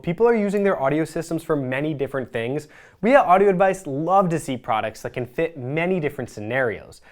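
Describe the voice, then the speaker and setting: Presenter with a lively, varied pitch. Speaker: product reviewer, presenter. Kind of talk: scripted review narration.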